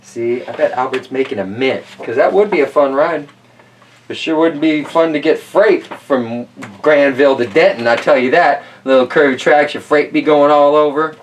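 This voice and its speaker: A man's voice: on the high side, moderate pace, recorded from a distance, slight background noise. A man reading a children's story aloud, with casual, folksy, rambling asides as host.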